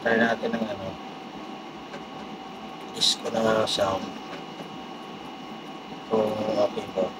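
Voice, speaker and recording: A man with a Filipino accent giving a casual, halting unboxing review with a gravelly voice. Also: lowish voice. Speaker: vlogger doing a product unboxing and test. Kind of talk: casual unboxing review, talking while handling items. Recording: clearly noisy, steady background hum.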